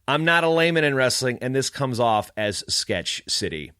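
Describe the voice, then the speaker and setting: Man, medium pitch, sarcastic edge, punchy emphasis, conversational podcast rant, dry room. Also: animated, normal speed, sharp tone, clear, resonant tone, no room sound. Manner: snarky, emphatic. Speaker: wrestling podcaster. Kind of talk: casual storytelling rant with read-out email.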